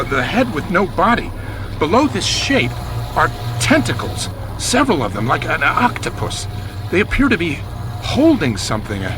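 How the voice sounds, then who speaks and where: An actor playing an awestruck, frightened radio news reporter giving a stammering eyewitness report in an audio drama. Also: male voice, mid-range voice, noisy background. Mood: anxious wonder. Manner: breathless eyewitness description with stammered repeats.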